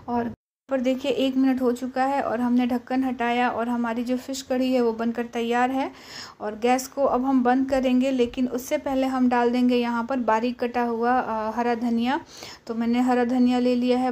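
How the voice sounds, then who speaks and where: A woman hosting a cooking channel, calmly walking through a recipe step by step in a voice-over, often pausing with "uh". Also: high-pitched. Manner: patient, explanatory, frequent "uh" pauses.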